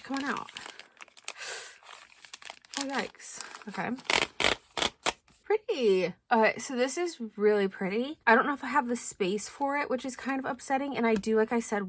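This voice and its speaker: A young woman chatting casually through a stationery unboxing, thinking aloud with lots of likes and ums, her voice a little hoarse. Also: on the high side, moderate pace, noisy background. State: slightly hoarse. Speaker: planner vlogger.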